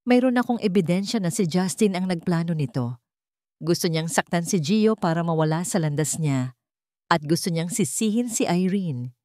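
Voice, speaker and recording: A woman's voice: on the low side, expressive, moderate pace, a little mellow, dry recording, clean studio sound, close to an everyday voice. A Filipino woman voicing a stern, resolute wealthy matriarch with a firm, formal manner in an audio story. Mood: grave.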